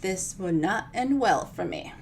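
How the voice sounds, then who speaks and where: A woman giving an expressive storytime reading of their own fiction, with chatty, informal asides. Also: medium pitch, a little slow, a little hiss or hum. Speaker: author reading their own novel on a live show.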